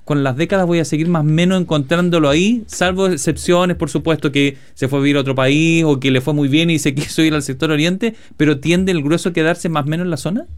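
A man's voice: a little high, steady tone, quick pace, few pauses, clean tone. A man with a Chilean accent, working as a radio host who interviews conversationally and reads out announcements.

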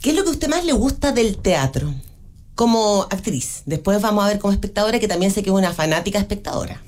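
A woman hosting an interview, asking measured, well-prepared questions that cite facts. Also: mid-range voice, animated, a little mellow. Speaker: interviewer, host.

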